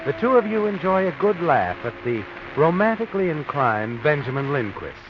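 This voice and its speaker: An actor playing an ominous, all-knowing narrator in an old radio mystery, speaking in a sly, insinuating way on a muffled, noisy recording. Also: male voice, fairly high pitch, very animated, moderate pace, music in the background. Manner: insinuating, addresses a character directly. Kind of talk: 1940s radio mystery narration in second person.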